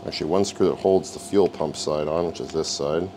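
A man's voice: rumbling low, a little fast, a little hiss or hum. A man hosting a repair video, narrating casually and wryly as he works at a garage bench. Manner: relaxed, wry, talks while working.

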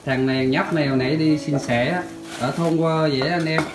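A man with a southern Vietnamese accent talks as a casual vlogger. He addresses his viewers in a familiar, chatty way, and music plays clearly behind his voice. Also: a little high, fairly even intonation, fairly quick. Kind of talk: casual vlog narration.

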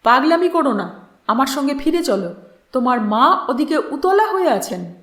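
An angry, controlling father in an audiobook tale, voiced by a woman. The voice is high and animated, scolding with urgency. It sounds in an echoey room. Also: normal speed. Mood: angry, restrained.

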